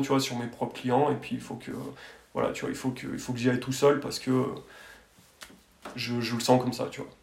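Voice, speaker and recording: Man with a creaky voice, casual storytelling. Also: medium pitch, darkish tone. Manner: hesitant, colloquial, frequent verbal tags. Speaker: architect, podcast guest. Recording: roomy echoing sound.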